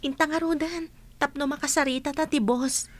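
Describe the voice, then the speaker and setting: A young woman friend, played by an actress, nosy and teasing. Her voice is very high, in conversational audio drama style. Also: steady tone, normal speed, close to an everyday voice. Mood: brisk.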